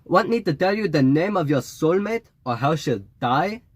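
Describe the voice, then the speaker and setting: A man voicing a cryptic, pushy psychic in an audiobook, with an exaggerated Jamaican accent and drawn-out, needling delivery. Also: a little high, animated, no echo, voice pushed up. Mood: taunting. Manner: drawn-out mystical pronouncements, needling questions. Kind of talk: audiobook character voice.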